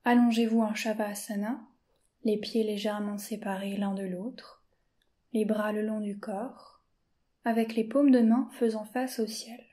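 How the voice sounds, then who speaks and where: A woman teacher guiding a yoga nidra session in a slow, soft-spoken, calm way, often dropping to a whisper. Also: medium pitch. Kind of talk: guided meditation.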